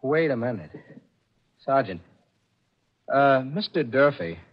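An American actor playing an excitable, accusing theater man with an emphatic, derisive manner, in 1940s radio drama style. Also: mid-range voice, takes it slowly, husky, heavily acted. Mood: suspicious. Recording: muffled, slightly noisy old radio broadcast.

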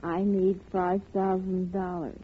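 An actress playing a desperate young woman, pleading yet insistent, with a husky voice in a 1950 radio drama. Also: fairly low pitch, level tone, slow, slight background noise. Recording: tinny old radio recording.

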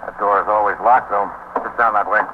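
Actor as blunt low-voiced police lieutenant, noisy radio. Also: male voice, little pitch movement, fast talker, close to an everyday voice. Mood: tense, businesslike. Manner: terse, blunt, practical. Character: gruff, no-nonsense male police detective squad lieutenant. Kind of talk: 1950s radio police drama acting. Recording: muffled, very noisy 1950s radio recording.

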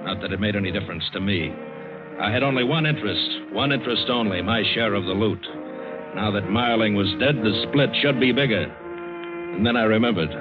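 A cynical, hard-boiled adventurer played by a man in a vintage radio thriller. His voice is fairly low, terse and bitter, on a dull old broadcast with music behind it. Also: brisk, natural voice. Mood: bitter, calculating. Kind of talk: 1940s-50s radio drama narration and acting.

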